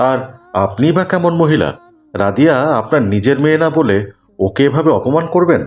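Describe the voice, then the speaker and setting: A man's voice: medium pitch, a little mellow, lightly acted. A man playing a principled uncle in a cartoon drama, polite but firm. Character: principled uncle arranging his nephew's marriage.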